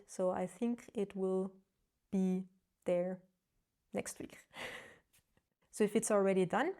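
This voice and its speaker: A woman with a German accent talks through her own skincare experiments as a travel vlogger. Her tone is earnest and explanatory, with a touch of self-deprecating humor. Her voice sits at an ordinary middle pitch for a woman. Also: mellow. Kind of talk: casual explanatory vlog.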